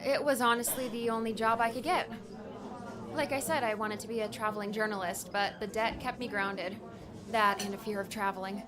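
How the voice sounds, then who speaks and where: A woman's voice: mid-range voice, steady tone, fairly quick, close to an everyday voice. A polite young woman played by an actress with a mid-range voice and a candid, self-deprecating manner. She plays an aspiring journalist in an audiobook drama, with crowd murmur around her. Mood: wistful, rueful. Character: polite, self-effacing young woman, debt-burdened would-be travel journalist.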